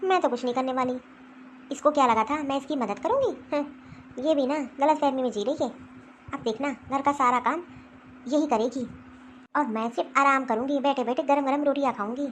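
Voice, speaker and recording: A scheming, domineering older mother-in-law played by a woman in a homemade family drama. Her voice sits high, and her tone is commanding and grumbling as she barks orders and complains. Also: moderate pace, slight background noise, lightly acted. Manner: scolding, commanding, grumbling to herself. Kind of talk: family skit acting.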